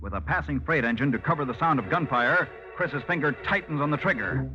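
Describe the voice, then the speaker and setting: A man's voice: fairly high pitch, fairly quick. A man announcing dramatically in the style of a 1940s radio mystery serial. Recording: muffled old radio recording. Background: loud organ music.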